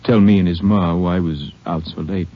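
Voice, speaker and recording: An actor playing a wounded, grieving middle-aged country deputy in a 1950s radio drama, speaking in a weak, halting, folksy way. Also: male voice, deep voice, steady tone. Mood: dazed, halting. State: injured, dazed. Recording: dull, muffled old radio recording.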